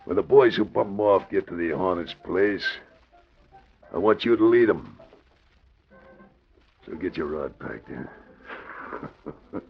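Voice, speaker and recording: Gravelly, ruthless mob boss. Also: male voice, deep voice, expressive, takes it slowly, long pauses, full character voice. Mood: suspicious menace. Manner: menacing, slangy tough talk. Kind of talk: 1940s radio crime drama acting. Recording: muffled old radio recording.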